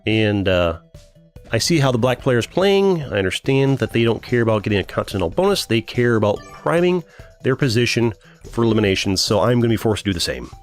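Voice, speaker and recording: A young man with a deep voice casually commenting on his strategy game live, thinking aloud and answering chat. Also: expressive, brisk, soft background music. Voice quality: deeper voice than usual. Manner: chatty, thinks aloud, reads chat and laughs often. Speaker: streamer.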